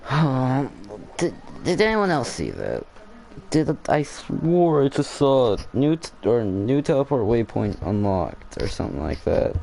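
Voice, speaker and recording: A young man giving casual, halting gameplay commentary, thinking aloud as he plays. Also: mid-range voice, wildly swooping pitch, vocal fry, a little mellow, rough recording. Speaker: gaming video host.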